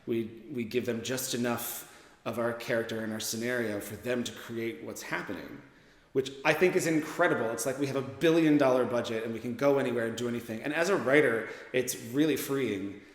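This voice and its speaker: A man speaking casually in an interview as a writer and performer, enthusiastic and expressive. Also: mid-range voice, fairly quick. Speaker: writer and radio drama performer. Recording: echoey, reverberant room.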